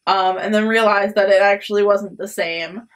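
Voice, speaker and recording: A woman's voice: medium pitch, fairly even intonation, moderate pace. Young woman vlogger, chatty storytime, lots of ums and likes, laughs a lot. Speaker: vlog host. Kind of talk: casual storytelling.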